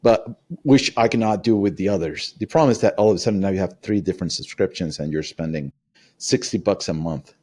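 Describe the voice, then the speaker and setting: Low-voiced man, direct, non-native English. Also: a little fast, a little mellow. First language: not English. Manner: direct, matter-of-fact, walks through how he works. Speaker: podcast guest, security practitioner. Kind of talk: casual panel chat.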